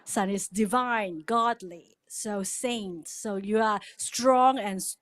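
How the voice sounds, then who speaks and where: A woman's voice: mid-range voice, slow pace. Chatty woman. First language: Cantonese. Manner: chatty, laughs often, leads the crowd in repeating words. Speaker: guest speaker at a worship service. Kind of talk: casual cultural talk with call-and-response.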